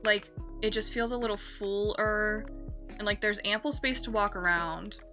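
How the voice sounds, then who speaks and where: A woman's voice: mid-range voice, steady tone, moderate pace, pushed and bright, soft background music. A young woman streamer chatting casually while building in a game, rambling and correcting herself with lots of fillers.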